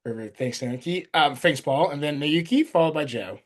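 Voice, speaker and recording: Man, facilitator, video call, upbeat, courteous, brisk. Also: fairly high pitch, lively intonation. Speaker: meeting facilitator. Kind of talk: hosting an online workshop.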